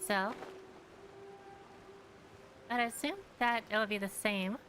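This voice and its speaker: A woman's voice: medium pitch, steady tone, slow pace, a bit bright, slight background noise. A woman giving casual gameplay commentary as a streamer, musing and hesitant as she thinks aloud. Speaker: game streamer.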